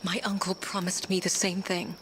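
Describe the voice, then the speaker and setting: An actress playing a young, stubborn, defiant princess in a video game, speaking tersely and with determination. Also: female voice, fairly low pitch, little pitch movement, moderate pace, noisy background, close to an everyday voice. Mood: alarmed.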